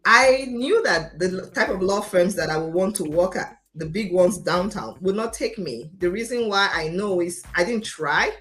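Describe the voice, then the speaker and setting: Passionate Nigerian woman, lower-pitched voice. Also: expressive, moderate pace, hardly any pauses, fairly bright tone. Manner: passionate, emphatic, confident. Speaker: interview guest, lawyer. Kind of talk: personal storytelling in an interview. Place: remote video call.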